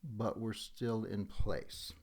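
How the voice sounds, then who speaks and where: A man's voice: lowish voice, steady tone, slow pace, creaky, a little mellow, slightly rough. A man giving a casual, instructional screen demo voice-over, checking in often with okay as he goes. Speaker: software demonstrator.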